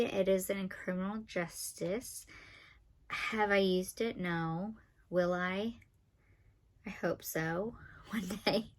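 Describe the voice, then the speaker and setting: A woman in her twenties with a nasal, monotone voice, chatting fast and dryly as a vlogger counting off facts about herself. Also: on the low side. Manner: talks fast and a lot, dry and sarcastic. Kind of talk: casual talking-to-camera list video.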